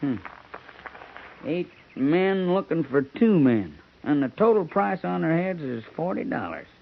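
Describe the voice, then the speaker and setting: A sniveling, sly frontier settler. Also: male voice, a little high, animated, measured pace, vocal fry, heavily acted. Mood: scheming. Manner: drawling, sly, hesitant. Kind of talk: 1950s radio drama acting. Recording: tinny, muffled old radio broadcast.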